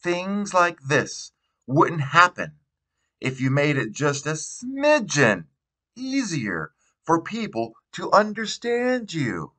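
Male reader as patronizing woman teacher, audiobook: gentle, condescending, pitched up high. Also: huge pitch swings, slow, full character voice. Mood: sweetly patronizing. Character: syrupy, patronizing female high school teacher.

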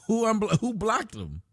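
A man's voice: high-pitched, dry recording, studio quality. A man hosting a casual livestream from a small room at his desk, loosely reading donations and chat aloud with plenty of profanity. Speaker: livestream host.

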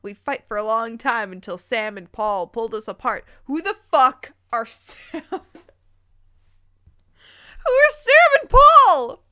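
A woman's voice: a little high, very animated, slow, bright, forceful tone, clear, resonant tone. A woman hosting a dramatic read-aloud of fan fiction, breaking in with playful, incredulous commentary. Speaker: host reading and reacting to fan fiction.

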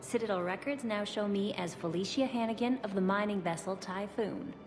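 A woman playing a gentle young woman in hiding, in video game dialogue. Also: medium pitch, brisk, background noise, close to an everyday voice. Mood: wistful.

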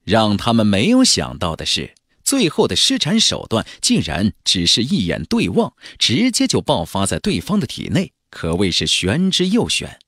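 A male audiobook narrator performs a fantasy novel in a husky, mid-pitched voice. His delivery is theatrical and emphatic, with very wide melodic swings. The sound is dry, with music playing behind him. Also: wildly swooping pitch, moderate pace, few pauses, fairly bright tone, no echo.

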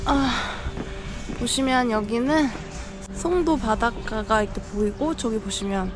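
A woman's voice: fairly high pitch, unhurried, over music. A woman in her thirties giving casual, upbeat narration of her camping trip, recorded outdoors on a camera mic. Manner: chatty, relaxed, upbeat. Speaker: vlogger. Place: outdoor seaside campsite. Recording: outdoor ambience, traffic behind.

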